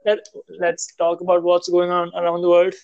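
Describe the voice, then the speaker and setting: A young man co-hosting a casual podcast over a call, halting and repeating his words. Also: high voice, monotone, darkish tone, dry recording, lo-fi sound. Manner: hesitant, repeats words and restarts often. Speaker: podcast co-host. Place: at home in India. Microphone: call audio.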